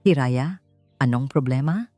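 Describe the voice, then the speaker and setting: Woman as a powerful CEO man, calm, firm, urgent, romance audiobook, light music behind. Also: a bass voice, a little slow, dry recording, pitched below the performer's own voice. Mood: concerned, intent. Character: commanding male CEO, calm but firm.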